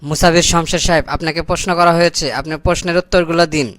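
A man's voice: fairly high pitch, little pitch movement, racing, fairly bright tone, no echo, close to an everyday voice. A man voicing a scheming, self-important corrupt boss in a comic cartoon, pompous and sly, with colloquial Bangladeshi speech. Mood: mock-formal questioning. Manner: pompous, mock-formal, sly.

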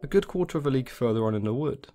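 A man's voice: medium pitch, lively intonation, racing, dark tone, soft background music, voice pushed up, lightly acted. A man voicing an innocent little girl, lifting his voice into a light, lilting tone. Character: sweet, trusting little girl in a red cap.

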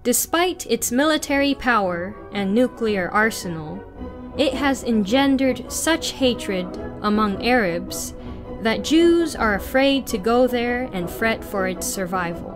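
A young woman reading a written article aloud as narration, in a deliberate, formal manner. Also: a little high, animated. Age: young adult. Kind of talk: scripted read-aloud narration. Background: music.

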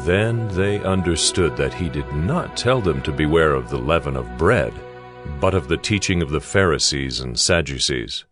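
A man's voice: rumbling low, animated, moderate pace. A man reading scripted narration in a dramatized scripture production. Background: background music.

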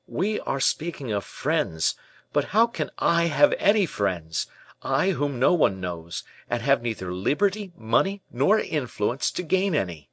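A man's voice: mid-range voice, very animated, a little slow, fairly bright tone, slightly rough, exaggerated voice. A man reading a storybook part as a young imprisoned prince, proud, embittered and hot-blooded, in formal archaic speech. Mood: bitter outburst. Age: young adult. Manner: formal archaic speech, rhetorical questions, impassioned.

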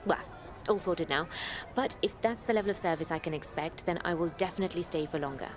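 A woman's voice: fairly low pitch, fast talker, close to an everyday voice. An actress playing a polite, enigmatic woman who is new to a village, in a radio soap. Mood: polite, knowing. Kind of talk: naturalistic radio soap acting.

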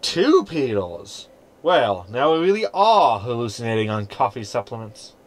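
An actor playing a sardonic, unimpressed holographic crewmate in a science fiction audio sitcom. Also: male voice, medium pitch, sing-song, unhurried. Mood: sarcastic. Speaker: actor in sci-fi comedy audio drama.

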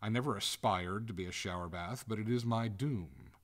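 A man reading a story aloud, voicing the prim, reproving ghost of a drowned maiden, in a voice close to his own low narration. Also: normal speed. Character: haughty drowned maiden ghost, centuries old.

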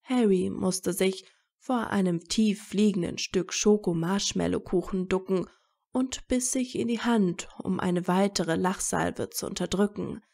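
A woman's voice: mid-range voice, a little slow, vocal fry, dark tone. A woman reading scripted story narration as the narrator of an audio fiction.

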